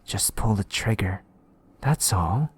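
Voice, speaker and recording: A man voicing a smiling, sinister tempter who speaks calmly and coaxingly. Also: fairly low pitch, takes it slowly, slightly rough, exaggerated voice. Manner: calm, coaxing.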